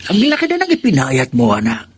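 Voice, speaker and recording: A man's voice: mid-range voice, huge pitch swings, a little fast, breathy edge. A man playing a patient, pious old grandfather in a Filipino radio drama, earnest and counselling, with a Filipino accent. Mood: gently admonishing. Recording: very loud music over the voice.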